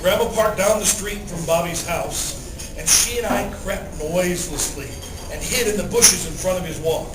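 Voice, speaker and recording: High-voiced man reading a Christmas story. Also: normal speed, very noisy. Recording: roomy, distant mic, music underneath. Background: music.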